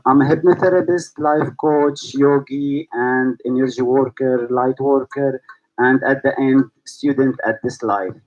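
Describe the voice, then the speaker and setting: A man's voice: medium pitch, low-quality recording. A man from Syria, founder and host of a yoga school, speaking to online viewers and asking repeated rhetorical questions. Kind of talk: reflective talk to online viewers.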